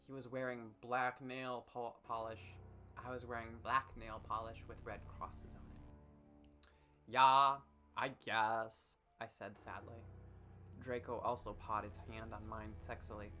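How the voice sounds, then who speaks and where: A man's voice: mid-range voice, little pitch movement, measured pace, sharp tone, faint music behind. A man doing a comedic dramatic reading with casual commentary, mock-serious and tripping over words. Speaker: streamer hosting a dramatic reading.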